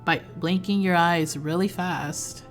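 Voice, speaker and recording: A smug young male fairy, put on by a woman, who drops into a low, amused voice to tease. He takes his time with every line, as if he is enjoying himself. Faint music plays underneath. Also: fairly even intonation, takes it slowly. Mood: smug teasing. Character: teasing, smirking young male fairy with wings.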